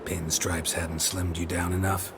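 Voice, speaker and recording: A man's voice: low-pitched, a little slow, over music. A man playing a stoic, world-weary monster hunter in a video game cutscene, speaking in terse, dry lines. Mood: dry, skeptical. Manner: terse, deadpan.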